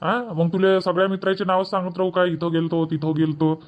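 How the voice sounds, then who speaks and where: A man's voice: high-pitched, level tone, fairly quick, highs cut off, close to an everyday voice. A man voicing an evasive village farmer husband in a dubbed cartoon comedy, grumbling and bossy.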